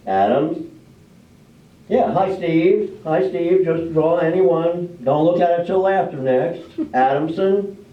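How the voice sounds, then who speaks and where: A man in an amateur readers theater play, playing a brisk, folksy village official who runs a drawing. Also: a little high. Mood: businesslike. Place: live performance in a library room. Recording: roomy, distant, dull live library recording.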